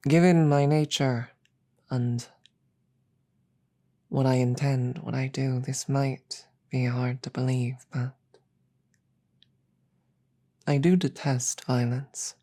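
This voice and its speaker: Woman voicing a very deep, husky demon queen. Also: fairly even intonation, mellow, heavily acted. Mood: calm, menacing. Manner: slow, deliberate, measured, breathy murmur with pauses. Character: regal, calm, menacing demon queen, softly seductive. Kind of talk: scripted roleplay audio drama.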